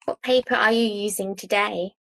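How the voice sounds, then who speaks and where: A woman's voice: medium pitch, steady tone, fairly quick, gravelly, a bit bright, no room sound, low-quality recording. A woman hosting an art podcast, asking questions and encouraging her guest with short agreeing sounds. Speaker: podcast host. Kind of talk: casual podcast chat.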